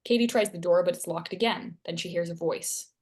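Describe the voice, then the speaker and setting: A woman's voice: on the low side, brisk, darkish tone, breathy edge. A woman reading scripted stage directions as the narrator of a screenplay table read.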